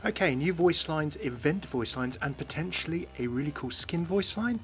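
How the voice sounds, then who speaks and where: A man's voice: a little high, expressive, normal speed, fairly bright tone. A man hosting a gaming channel, giving enthusiast commentary as he presents game voice clips. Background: background music.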